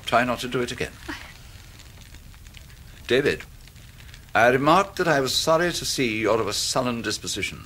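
A man's voice: medium pitch, expressive, bright, forceful tone, rough recording. Cold, domineering stepfather. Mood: cold, menacing. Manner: formal, measured, severe. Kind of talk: 1990s radio drama acting.

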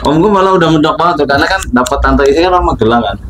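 A man's voice: fairly high pitch, animated, rapid-fire, fairly bright tone, a little hiss or hum. A young man, a barber, chatting and joking while he cuts a customer's hair in a small shop. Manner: chatty, joking, with bursts of laughter. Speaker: barber and show host. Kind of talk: casual chat while cutting hair.

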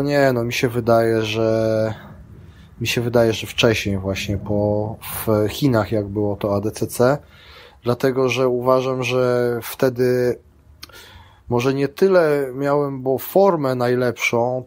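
A man's voice: mid-range voice, fairly even intonation, measured pace, darkish tone. Rambling man recounting his bouts. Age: middle-aged. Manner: rambling, many restarts and fillers. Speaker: guest, grappling athlete and coach. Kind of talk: casual interview chat.

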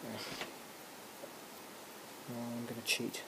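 A lecturer giving an informal software tutorial, talking through a demonstration hesitantly with muttered asides and interruptions to themselves. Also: mid-range voice, monotone, takes it slowly. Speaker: music technology lecturer. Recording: clearly noisy recording with room ambience.

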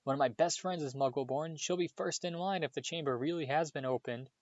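A man's voice: fairly high pitch, brisk, darkish tone, no echo, pitched above the performer's own voice, natural voice. Guy reading a stubborn schoolboy wizard, pushy urgent questions, kinda dull dry audio. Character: determined young schoolboy wizard, bold. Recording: slightly dull dry recording.

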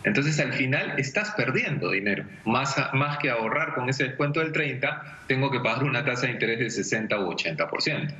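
A man's voice: medium pitch, lively intonation, fairly quick, few pauses, bright, forceful tone. A man in his forties with a Peruvian accent, giving didactic, conversational money advice as an expert on a video call. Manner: didactic, illustrates with everyday examples. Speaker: personal finance expert on a TV segment. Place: indoor room at home. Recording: dull, echoey video call.

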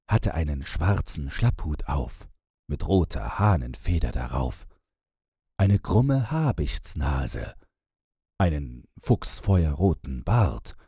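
A man reads a bedtime fairy tale as an audiobook narrator. His voice is very low and husky, and he takes his time while moving through lively rises and falls. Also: no echo, clean studio sound.

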